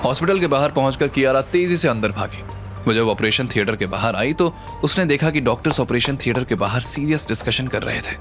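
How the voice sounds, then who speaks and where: A man's voice: medium pitch, animated, a little fast, fairly bright tone. A man narrating a scripted audio drama story in a dramatic style. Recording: music under the voice.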